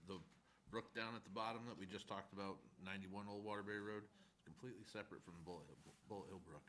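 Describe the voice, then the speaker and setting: A man's voice: lowish voice, fairly even intonation, fast, a bit bright. A man explaining things informally at a public meeting. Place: indoor municipal meeting room. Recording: noisy town meeting room.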